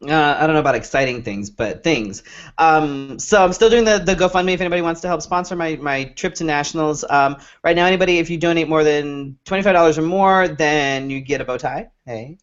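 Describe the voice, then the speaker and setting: A man's voice: a little high, brisk. A man co-hosting a show in casual, rambling chat, swearing freely, on a dull lo-fi recording. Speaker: show co-host. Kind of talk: casual chat, plugs and sign-off. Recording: dull lo-fi audio.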